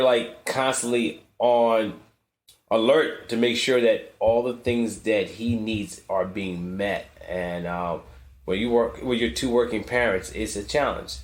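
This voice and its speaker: Steady low-voiced man co-hosting. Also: reverberant. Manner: practical, steady explaining; married couple's parent perspective. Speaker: podcast co-host. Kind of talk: casual podcast talk.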